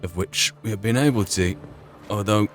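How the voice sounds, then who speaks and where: A man's voice: lowish voice, normal speed. A man giving casual, understated commentary on his video game playthrough, thinking aloud as he plays. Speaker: gamer, playthrough host. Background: game music.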